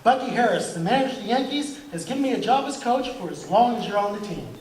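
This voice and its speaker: A man's voice: very high-pitched, expressive, fast. An amateur actor playing an unflappable, insistent straight man in a vintage radio comedy double act. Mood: confident, explaining. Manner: matter-of-fact, insistent. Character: unflappable, matter-of-fact comedy straight man, a team coach. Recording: echoey room with faint noise.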